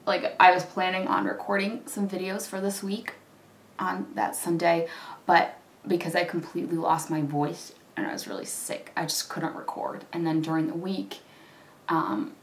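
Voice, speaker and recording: A slightly hoarse woman in her thirties chats along in a casual, rambling way, full of little fillers, while she does her makeup. Her voice sits on the lower side for a woman. She sounds a step back from the mic, in a room with a noticeable echo. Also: fairly even intonation, moderate pace. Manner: chatty, rambling, many fillers. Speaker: beauty vlogger. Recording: roomy echo, mic at a distance.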